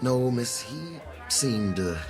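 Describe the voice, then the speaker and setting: A deferential waiter, medium-pitched and expressive, polite and a little hesitant. Clear music plays behind this audio drama voice. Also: male voice, slow. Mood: deferential, evasive. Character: courteous, hesitant restaurant waiter.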